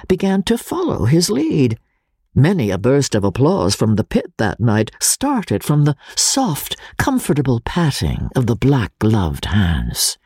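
A narrator giving a dramatic, theatrical reading of classic fiction in measured phrases. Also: medium pitch, darkish tone, slightly rough, dry recording, clean studio sound. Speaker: audiobook narrator. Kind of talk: dramatic scripted literary narration.

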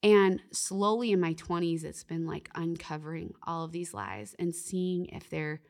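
Woman, young adult, lowish voice, earnest, reflective, faith podcast guest. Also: measured pace, vocal fry, darkish tone. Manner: earnest, reflective, often says "like" and "you know". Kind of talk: faith-based podcast conversation.

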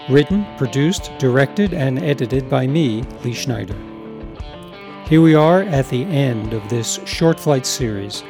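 A man presenting his own show, reading scripted credits and thanks to listeners as its writer and producer. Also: medium pitch. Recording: plucked guitar music behind the voice.

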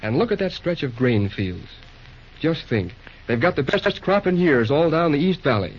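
A man's voice: a little high, sing-song, normal speed, a little hiss or hum. A man acting a restless, boyish young railroad worker in an old-time radio drama, with a folksy, exclamatory manner. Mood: relaxed. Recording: muffled old radio recording.

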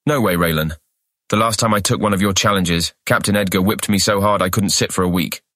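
Man playing a fast-talking, wary, resentful boy. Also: on the low side, fairly bright tone, no echo, clean studio sound, lightly acted. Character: wary, resentful boy, easily goaded friend. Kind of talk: full-cast fantasy audiobook acting.

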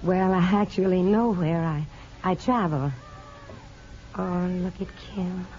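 An anxious, pleading mother, mellow-voiced. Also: on the low side, steady tone. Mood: hesitant. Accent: American. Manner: earnest, halting, emotional. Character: anxious, pleading mother longing for her lost child. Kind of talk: 1950s American radio drama acting. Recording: muffled, noisy old radio broadcast.

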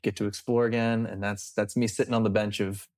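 A man's voice: on the low side, level tone, brisk, a little mellow. Easygoing man guesting on a podcast. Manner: easygoing, thoughtful, amused. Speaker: guest comedian. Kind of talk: casual comedic chat.

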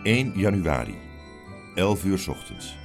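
A man's voice: sits low, sing-song, a little slow, creaky, music in the background, heavily acted. A man narrating scene changes in a scripted radio drama. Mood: matter-of-fact. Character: male narrator announcing scene times. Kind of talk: scripted narration over music.

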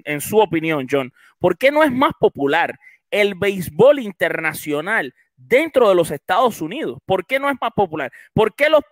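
A man from Cuba with a Cuban accent, hosting a podcast and putting long, animated questions to his guest. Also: sits high, no room sound. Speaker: podcast host. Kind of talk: interview questions, casual podcast.

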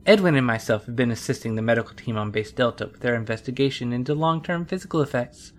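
Superior female scientist, low-pitched, expressive, precise, formal, dry, audio drama. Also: fast talker. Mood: brisk, formal. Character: prim, exacting woman scientist, drily superior.

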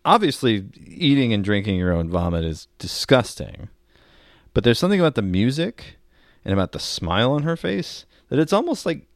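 A man's voice: mid-range voice, sing-song, moderate pace, no echo, clean studio sound. A man co-hosting a casual comedy podcast, explaining things in a wry way with frequent asides. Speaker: podcast co-host.

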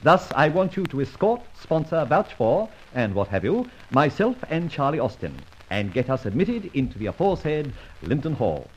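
An actor playing a debonair, flippant gentleman adventurer in an old radio drama, self-assured, with urbane, wordy phrasing. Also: a little high, very animated, normal speed, hardly any pauses, a little hiss or hum. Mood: bantering, confident. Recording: muffled old radio transcription recording.

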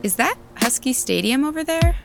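Casual female visitor, offhand, teasing, lively intonation, clear background music, naturalistic drama. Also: high voice, moderate pace. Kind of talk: naturalistic audio drama acting.

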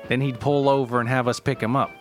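A man acts out an elderly race director giving an interview in an audio story. He rambles conversationally and grumbles in exasperation about his brother, at a medium pitch with faint music behind him. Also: level tone, quick pace, lightly acted. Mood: exasperated reminiscing. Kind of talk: acted interview reminiscence.

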